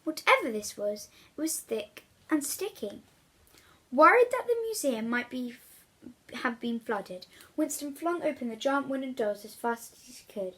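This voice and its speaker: A woman reading a children's story aloud with expressive, dramatic emphasis. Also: high voice. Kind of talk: animated read-aloud storytelling for young children.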